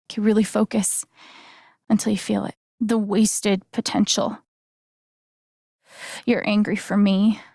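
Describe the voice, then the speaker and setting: An actress playing an earnest, gentle young woman in a video game, speaking softly and haltingly with sincere, naturalistic delivery. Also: medium pitch, dry recording, studio quality. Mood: earnest, guiding, then hushed, sorrowful.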